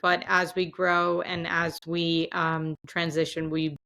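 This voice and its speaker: A woman founder answering interview questions in a steady, measured way, pausing on frequent ums. Her voice sits low with little rise and fall, yet has a bright, forward tone. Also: creaky. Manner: measured, uses frequent um fillers. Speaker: startup founder and CEO, interview guest.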